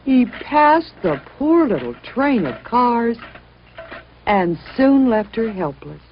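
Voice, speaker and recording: A woman's voice: on the high side, sing-song, slow. A woman narrating a children's story expressively, as a storyteller. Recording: muffled, noisy old-sounding recording.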